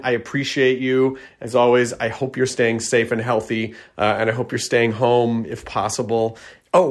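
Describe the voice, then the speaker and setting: A man hosting a podcast in casual chat, talking with many fillers and self-corrections, and slipping into halting learner Italian. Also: mid-range voice. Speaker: podcast host.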